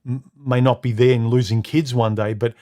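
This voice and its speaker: Guy doing a live stream at home, deep kinda muffled voice, lots of uh and um, keeps repeating words. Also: steady tone, dark tone. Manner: halting, repeats words, many uh and um fillers. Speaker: live stream host reading comments. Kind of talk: casual live stream talk. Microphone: studio microphone.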